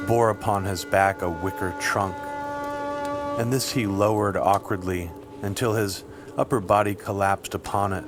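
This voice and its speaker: A man reading scripted literary fiction as an audiobook narrator, with measured, deliberate phrasing. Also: fairly low pitch. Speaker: audiobook reader. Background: music.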